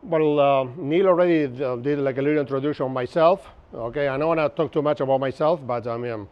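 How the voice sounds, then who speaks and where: A man's voice: fairly high pitch, fast, smooth, highs cut off. A man in his fifties from Argentina with a Buenos Aires accent, giving a chatty, joking workshop lecture and often checking in with his listeners. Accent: Argentine Spanish, Buenos Aires. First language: Spanish. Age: 50s. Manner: chatty, jokey, keeps checking in with the audience. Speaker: instructor. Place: open-sided pavilion outdoors.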